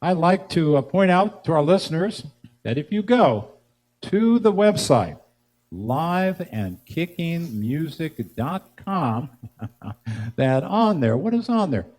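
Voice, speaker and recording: A man hosting a live music show, chatting casually and haltingly as he interviews guests, with chuckles between phrases. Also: on the high side, very animated, darkish tone.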